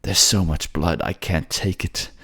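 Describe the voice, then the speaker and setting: A man's voice: deep voice, lively intonation, gravelly, a bit bright, slightly rough, full character voice. A man playing an anxious, eloquent male mage narrating visions in a theatrical fantasy audio drama. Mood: horrified, distraught. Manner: theatrical, halting as visions unfold.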